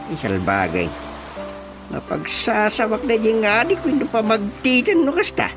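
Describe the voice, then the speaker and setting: A fussy, excitable village chairman in an Ilocano radio comedy. His Ilocano-accented voice swings widely in pitch as he scolds and exclaims theatrically. Loud music plays behind the dialogue. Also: unhurried, exaggerated voice. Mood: shocked, indignant.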